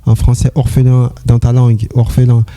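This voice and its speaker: A man's voice: medium pitch, completely flat delivery, normal speed, warm tone. A man interviewing a guest in a casual, encouraging way, often affirming her with short replies. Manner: encouraging, frequent backchannel affirmations.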